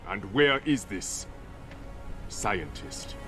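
Low-voiced, proud guarded king. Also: sing-song, music in the background, exaggerated voice. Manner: formal, measured, regal. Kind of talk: video game cutscene acting.

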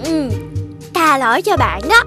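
A woman voicing a sweet little cartoon rabbit child, childlike and expressive, with a southern Vietnamese accent. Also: high-pitched, a little slow. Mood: forgiving, friendly. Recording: music behind the voice.

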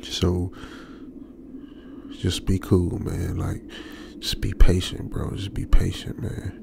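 A man playing a jittery stranded young trucker in a radio drama, muttering to himself in halting, slangy speech. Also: low voice, completely flat delivery, gravelly, background noise, heavily acted. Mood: nervous, self-reassuring. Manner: halting, muttering, colloquial slangy self-talk. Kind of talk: ASMR old-school radio drama monologue.

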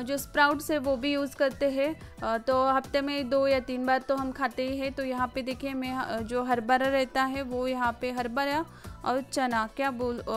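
A woman narrates like a home cooking vlogger. She explains things casually and a little hesitantly, repeating words as she goes. Faint music plays behind her. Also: high-pitched. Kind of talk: casual recipe voice-over narration. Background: background music.

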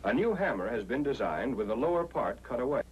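A man's voice: mid-range voice, sing-song, fairly quick, breathy edge, muffled recording. An American man reading a scripted 1940s instructional film narration in an emphatic, explanatory way, on an old film soundtrack.

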